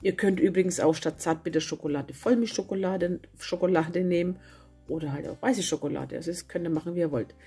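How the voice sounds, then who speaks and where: A woman with a cold and a hoarse voice, chatting casually as she narrates a home baking video and correcting herself as she goes. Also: fairly low pitch, a little fast. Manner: chatty, self-correcting, homely. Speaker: cooking channel host. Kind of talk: casual recipe voice-over. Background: soft guitar music.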